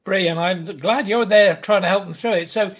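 Slightly hoarse male podcast host. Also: sits high, normal speed. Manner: friendly, restarts questions often. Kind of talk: casual interview.

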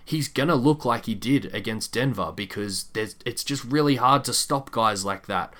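A man co-hosting a sports podcast, giving measured, candid analysis in a casual discussion. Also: mid-range voice, a bit bright.

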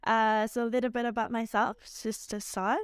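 A woman in her forties from Japan, speaking English with a Japanese accent as a podcast guest, warm and laughing often, with plenty of "um" and "like". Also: fairly high pitch, little pitch movement, normal speed, smooth, no room sound, clean studio sound. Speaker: guest, dance instructor. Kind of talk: casual interview.

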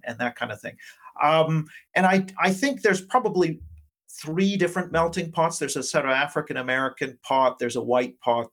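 A man's voice: medium pitch, fairly bright tone. A male academic guest giving conversational, analytical interview answers, qualifying his points as he goes. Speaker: academic guest, politics professor.

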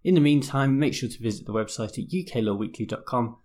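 A man, slightly hoarse, hosting a law podcast in a measured, explanatory scripted style. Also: medium pitch, expressive, a little mellow. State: a bit hoarse, losing his voice. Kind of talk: scripted legal commentary podcast.

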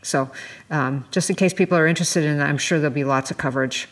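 A woman's voice: low-pitched, fast talker. An American woman talks as a podcast co-host in an explanatory, conversational style. She lays out policy matters informally. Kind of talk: explanatory discussion of environmental policy.

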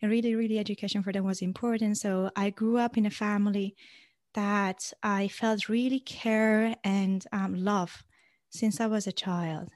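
A young woman from Iran, a guest in an interview, speaking natively and answering in a halting way with many fillers and restarts. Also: mid-range voice, steady tone, darkish tone, no echo, studio quality. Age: young adult.

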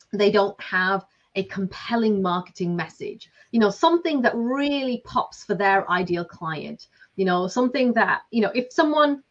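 A woman in her forties with a Lancashire accent, a business coach talking chattily with lots of fillers. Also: medium pitch. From Lancashire, England. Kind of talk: casual interview talk. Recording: slightly dull lo-fi sound.

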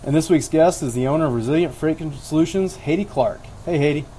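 A man's voice: on the high side, quick pace, dark tone, a little hiss or hum. A man hosting a podcast in a casual, chatty style. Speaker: podcast host.

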